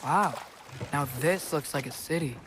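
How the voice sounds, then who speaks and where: A man's voice: fairly high pitch, measured pace, background noise, close to an everyday voice. An actor playing a curious, earnest teenage boy in a video game, with a casual, inquisitive manner. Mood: curious, eager.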